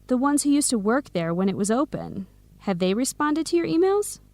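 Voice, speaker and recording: A woman's voice: high voice, big pitch swings, moderate pace, a little mellow, dry recording. An actress playing a practical, frank young woman friend in a radio drama. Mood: doubtful, probing. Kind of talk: radio drama acting for English learners.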